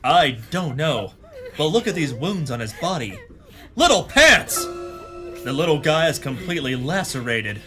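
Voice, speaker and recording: An actor playing a pompous, hard-boiled hero detective in a melodramatic parody pulp audio drama. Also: male voice, fairly high pitch, big pitch swings, unhurried, sharp tone, soft background music, full character voice. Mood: grim. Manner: bombastic, melodramatic.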